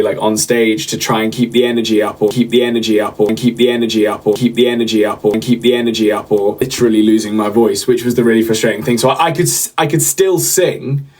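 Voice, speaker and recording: A man's voice: medium pitch, steady tone, fast talker, hardly any pauses, darkish tone. A young man hosting a casual livestream, chatting to viewers in a rambling way with lots of fillers and repeated words. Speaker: livestream host.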